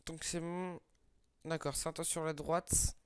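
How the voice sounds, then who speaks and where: A man's voice: a little high, little pitch movement, slow, clear, resonant tone, no echo. A young man with a slight head cold, casually commenting on game summons with lots of fillers, hesitations and crude slang. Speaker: gaming YouTuber.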